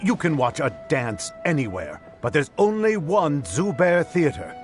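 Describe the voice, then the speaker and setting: A man's voice: a little high, big pitch swings, measured pace, soft background music. An actor playing a spirited, loyal male theater supporter in a video game. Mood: defiant.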